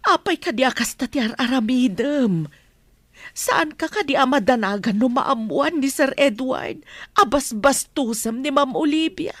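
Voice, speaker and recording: A woman's voice: sits high, expressive, dry recording, clean studio sound. A woman playing an earnest, reproachful old nanny in an Ilocano radio drama. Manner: earnest, admonishing, drawn-out delivery.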